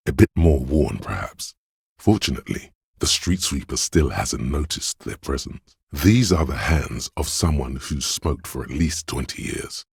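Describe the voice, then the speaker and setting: A man voicing a detective's coolly observant inner voice of visual reasoning in a video game, narrating in a measured, clinical, matter-of-fact way with a deep, gravelly tone. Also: no echo, clean studio sound, full character voice. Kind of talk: scripted second-person game narration.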